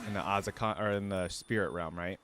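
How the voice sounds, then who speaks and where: A man's voice: sits low, fairly even intonation, brisk, fairly bright tone, slight background noise. A man hosting a casual reaction video, thinking aloud in an informal way. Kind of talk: casual reaction commentary, some reading aloud.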